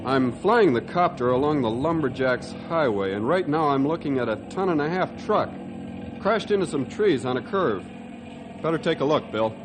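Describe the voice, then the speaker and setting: An actor playing an alert, easygoing American ranger pilot in a radio drama, brisk and plain-spoken. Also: male voice, on the high side, animated, background noise, lightly acted. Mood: urgent. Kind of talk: old-time radio drama acting.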